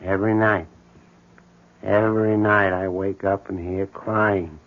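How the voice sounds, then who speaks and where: A man's voice: lowish voice, level tone, unhurried. An actor playing an odd, morbid building handyman, matter-of-fact and brooding, in a 1970s radio drama. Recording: thin, tinny old radio broadcast.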